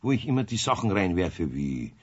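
An actor playing a grumbly but patient, fatherly older man with a Bavarian accent and husky voice in a 1970s children's radio play. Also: low voice, big pitch swings, fairly quick, a little mellow, exaggerated voice. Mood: coaxing. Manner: admonishing, homely. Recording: dull vintage radio play recording.

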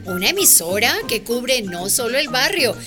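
An actress playing a pleased, inquisitive mayor who speaks expressively in a radio drama. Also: female voice, a little high, very animated, moderate pace. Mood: pleased curious. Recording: music behind the voice.

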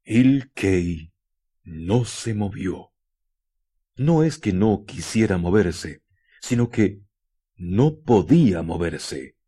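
A man narrating a radio drama in a measured, suspenseful manner, with deliberate pauses between short phrases. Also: deep voice, animated, warm tone, husky. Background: music.

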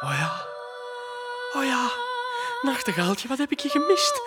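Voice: high voice, huge pitch swings, a little slow, over loud music, full character voice. An actor playing a sick old emperor in a children's audio drama, childish and commanding, repeating words in agitation. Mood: weak, longing.

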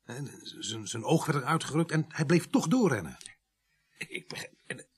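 An actor playing a brusque, probing police sergeant in a radio drama, speaking in terse questions and replies with a husky voice. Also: male voice, fairly high pitch, expressive, normal speed. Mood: subdued probing.

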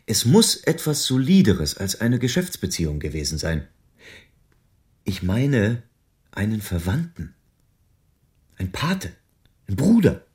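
A world-weary private detective in a radio crime drama. He explains things patiently and soberly in a lowish voice. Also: very animated, slow, long pauses, a little mellow. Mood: sober concern.

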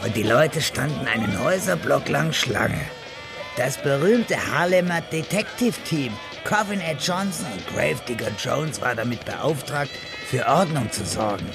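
A man's voice: mid-range voice, animated, moderate pace, creaky, music in the background. An actor narrating a hard-boiled German radio crime drama as its male storyteller, in a scripted style. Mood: measured storytelling.

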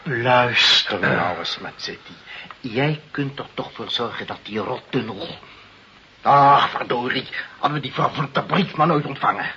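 An actor playing a blustering, self-important director in a radio play, speaking pompously and haltingly and repeating himself. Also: male voice, medium pitch, sharp tone. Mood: stern, pompous. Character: blustering, self-important male director seeking face-saving deals. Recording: muffled old radio recording, light noise.

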